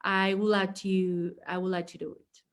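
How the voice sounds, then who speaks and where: Halting woman mountaineer, English. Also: medium pitch, flat delivery. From Latin America. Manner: halting, many fillers and restarts. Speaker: mountaineer guest. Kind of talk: online interview answers.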